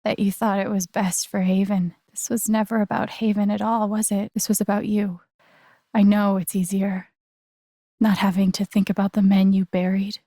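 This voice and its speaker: A woman's voice: mid-range voice, level tone, normal speed, warm tone, no echo, studio quality, close to an everyday voice. A woman playing an earnest, wounded but defiant young video game heroine, speaking in a plain, emotionally charged way. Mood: confrontational, bitter. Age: young adult. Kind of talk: dramatic video game voice acting.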